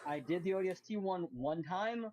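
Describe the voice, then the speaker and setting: A man's voice: high voice, lively intonation, fairly quick, a little mellow. A man in a casual chat about video games, explaining things in enthusiastic detail.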